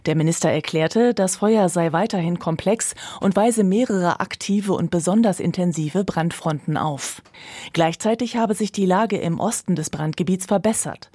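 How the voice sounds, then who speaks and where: Woman, news reader, soft-edged dark timbre, scripted bulletin delivery. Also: on the low side, normal speed, no echo.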